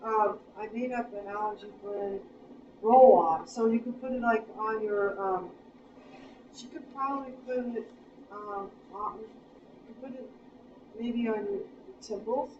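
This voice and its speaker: A woman's voice: medium pitch, steady tone, unhurried, pauses often, a little mellow, slight background noise. An Appalachian American woman leading a small workshop on essential oils, chatting casually and listing blends to her group. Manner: friendly, chatty, lists items off. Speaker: workshop leader handing out essential oil blends. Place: meeting room, small group. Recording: distant roomy recording, muffled.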